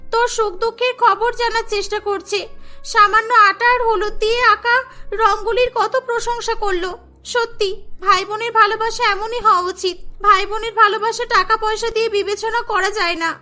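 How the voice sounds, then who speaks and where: A woman's voice: very high voice, fairly even intonation, a little fast, pushed and bright. A woman voicing a boastful rich young woman who dotes on her brother, in melodramatic, emphatic cartoon style with music behind. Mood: remorseful, tearful. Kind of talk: melodramatic cartoon story acting.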